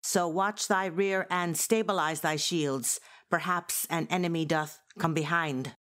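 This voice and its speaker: Woman playing a low-voiced rebel officer. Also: steady tone, unhurried, fairly bright tone. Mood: steady. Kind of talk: dramatic reading in Elizabethan verse.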